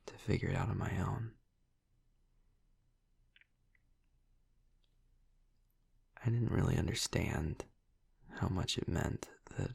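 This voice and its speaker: A man acting as a tender male vampire boyfriend in an intimate audio roleplay. His voice is very deep and thick with fry, breathy and soft. He talks slowly and tentatively, right up close to the listener in a dry recording. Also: dry recording, exaggerated voice. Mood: reflective, wistful. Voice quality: husky, breathy, creaky. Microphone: close, dry microphone.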